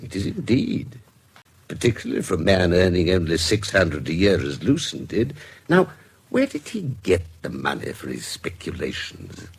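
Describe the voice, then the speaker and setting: An actor playing a learned, wry doctor-detective in a radio drama, genially pedantic and donnish, with a British accent. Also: male voice, sits low, wildly swooping pitch, normal speed, gravelly, husky, exaggerated voice. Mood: brisk, analytical. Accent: British English. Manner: donnish, precise, dryly humorous. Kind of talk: BBC radio drama acting.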